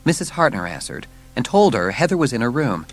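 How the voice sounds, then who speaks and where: A man's voice: mid-range voice, big pitch swings, brisk. A man narrating a children's story as scripted, dramatised audiobook narration. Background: music.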